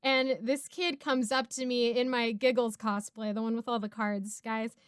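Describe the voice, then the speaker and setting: High-pitched, smooth-voiced young woman chatting. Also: moderate pace, no echo, studio quality. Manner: giggly, expressive, chatty. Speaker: streamer and narrator hosting a Q&A. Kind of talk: casual chat, storytelling.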